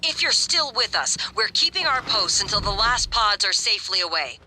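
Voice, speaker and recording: A stern female space captain with a very bright, forceful voice. She gives orders tersely and formally, grave and in control, in a sci-fi game style. There is faint noise behind her. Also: mid-range voice, very animated, normal speed, rough recording. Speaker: ship's captain. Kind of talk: video game voice acting.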